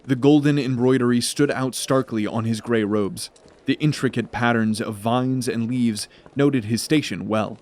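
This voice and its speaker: A man narrating a scripted fantasy story in a measured, dramatic storytelling manner. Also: medium pitch, steady tone, darkish tone.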